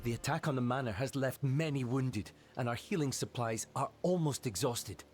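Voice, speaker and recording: A man's voice: mid-range voice, moderate pace, music in the background, close to an everyday voice. Man playing an earnest, worried servant. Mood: urgent, pleading. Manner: earnest, urgent. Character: worried, earnest manor servant pleading for aid. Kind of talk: dramatic video game acting.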